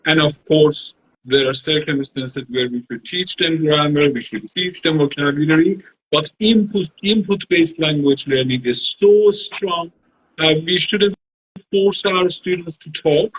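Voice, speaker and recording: A man's voice: a little high, measured pace, a bit bright, a little hiss or hum, rough recording. Reflective man with Azeri as his first language. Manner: reflective, explanatory, frequent hesitations. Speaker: guest, academic trainer. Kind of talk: online interview conversation. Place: Turkey.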